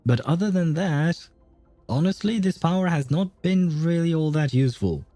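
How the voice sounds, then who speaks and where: A man's voice: on the high side, lively intonation, moderate pace, no room sound. A man livestreaming a video game with casual, chatty commentary, thinking aloud as he plays. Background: soft game music.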